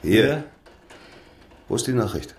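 An actor playing a curt, commanding secret agent in a radio crime drama. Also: male voice, medium pitch, big pitch swings, takes it slowly, slight background noise. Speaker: intelligence agent.

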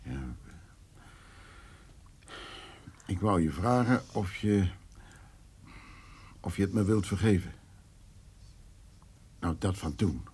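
Hesitant, husky older farmer. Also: male voice, sits low, expressive, a little mellow, exaggerated voice. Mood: contrite, subdued. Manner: hesitant, halting. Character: remorseful, awkward older male farmer visiting an old neighbour. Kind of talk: naturalistic radio drama dialogue.

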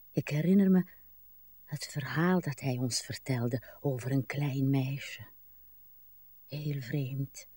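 An actress playing a weary, wistful old woman in a literary radio drama, speaking haltingly and pensively, with sighs. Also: rumbling low, dark tone, husky, no echo, studio quality, exaggerated voice. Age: older. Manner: slow, halting, pensive delivery with drawn-out sighs. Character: weary, wistful, brooding old Irish countrywoman, a wife.

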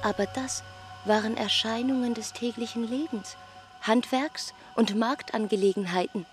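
An actress playing a young village woman who questions her family's plight with a slow, questioning delivery in a radio play. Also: a little high, over music, natural voice.

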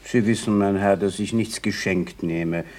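An actor in a German radio comedy playing a dignified, courteous old beggar who speaks formally and in a measured way. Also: male voice, lowish voice, steady tone, a little mellow, close to an everyday voice.

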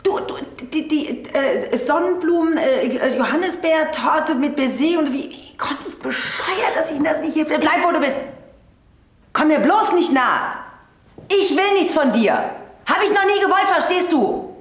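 An actress playing a distressed, defensive woman who speaks haltingly in a radio play. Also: sits high, animated, echoey room. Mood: panicked, angry. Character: distressed woman fending off an obsessive admirer.

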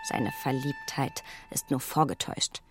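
An actress playing a proud, self-reproaching young gentlewoman with a haughty, emphatic manner in a German radio drama. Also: a bass voice, measured pace. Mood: indignant, haughty. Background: music.

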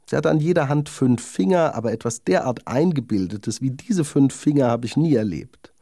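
A man's voice: mid-range voice, expressive, normal speed, vocal fry, mellow, no echo, clean studio sound. A man voicing a vain, haughty young lady darning needle in a children's fairy tale, proud and genteel in manner. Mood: boastful, scornful. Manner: proud, self-satisfied, genteel.

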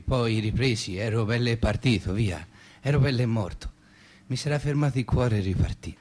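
Radio theatre: actor as chatty Tuscan male, mid-pitched, rambling dialect monologue, matter-of-fact. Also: a little mellow, natural voice. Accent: Tuscan Italian. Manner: colloquial, matter-of-fact, repetitive. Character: chatty Tuscan man recounting his own death.

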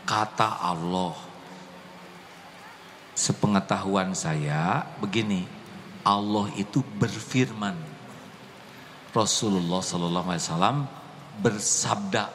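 A man delivering a sermon, unhurried and measured in his phrasing. His voice carries a long hall reverb with faint background noise. He swings from soft, gentle explanation to impassioned, high exclamation. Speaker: preacher.

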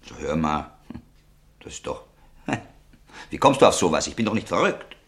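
Ein Schauspieler spielt in einem deutschen Hörspiel einen nervösen, verlassenen Mann, der ungeduldig und kurz angebunden eine Waffe kaufen will. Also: male voice, low voice, sing-song, unhurried, creaky, fairly bright tone, full character voice. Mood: curt, evasive. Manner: curt, evasive, irritable. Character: tense, jilted man impatient to buy a revolver. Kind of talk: German radio play acting.

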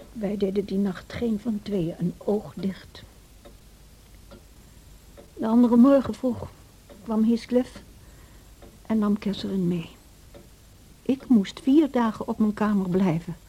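A woman's voice: medium pitch, mellow, husky, poor audio. A woman playing a loyal, caring housekeeper in a radio play, recounting events in a confiding, concerned way.

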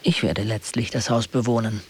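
An actor playing a searching stranger who puts short, probing questions in a poetic radio drama. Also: male voice, fairly low pitch, steady tone, normal speed, darkish tone, slight background noise, natural voice. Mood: searching, earnest. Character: searching male stranger questioning a guardian at a threshold.

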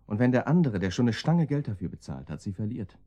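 A dark, mellow male henchman in a radio drama. His pitch moves freely as he questions coolly, with clipped, sardonic menace. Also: big pitch swings, fast, muffled recording. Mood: sardonic, menacing. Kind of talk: German radio drama acting.

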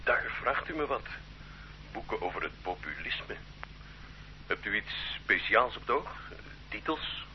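Low-voiced, hesitant bookseller. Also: male voice, sing-song, unhurried, pauses often. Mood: cautious. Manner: hesitant, full of ehs. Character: cautious male bookseller, hesitant. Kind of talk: radio drama acting. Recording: dull old radio recording with faint hum.

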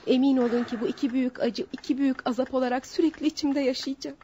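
A woman's voice: high-pitched, fairly even intonation, fast, warm tone, a little hiss or hum, lightly acted. An actress playing a remorseful, lovestruck female swindler in a melodramatic radio play, speaking in a wistful, formal way. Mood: wistful, pained. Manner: wistful, dramatic, formal phrasing. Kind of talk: melodramatic radio theatre acting. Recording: dull lo-fi radio drama recording.

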